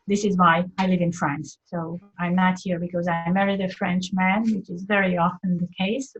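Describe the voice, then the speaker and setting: Woman, low voice, measured. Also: darkish tone, highs cut off. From Hungary. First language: Hungarian. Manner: measured, pausing often to find words. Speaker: guest, English teacher and teacher trainer. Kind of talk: webinar interview self-introduction. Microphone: video call.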